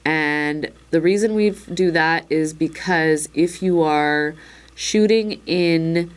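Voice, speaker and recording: A slightly hoarse woman giving a casual, chatty product demonstration, with frequent fillers and wry asides. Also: sits low, slow pace. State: a little hoarse. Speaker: instructor demonstrating a recorder.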